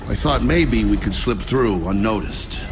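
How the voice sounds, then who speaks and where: An actor playing a soldier who recounts a failed mission in a measured, matter-of-fact way for a military action game. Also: male voice, fairly low pitch, expressive, very noisy. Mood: grave, reflective. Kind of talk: first-person narration in a military action game. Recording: loud music under the voice.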